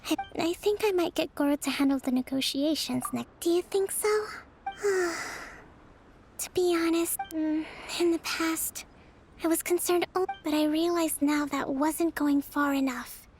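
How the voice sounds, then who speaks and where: An actress voicing a young female island military leader in a video game, composed and gentle but firm, speaking in a measured, formal way. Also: high voice, a little hiss or hum. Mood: weary, soft. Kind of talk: scripted video game character acting. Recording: music behind the voice.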